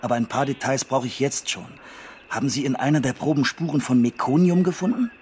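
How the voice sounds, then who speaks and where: An actor playing a hurried, polite forensic scientist and boss in a naturalistic radio play, businesslike and probing with hesitant pauses. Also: male voice, mid-range voice, a little mellow, slight background noise, lightly acted.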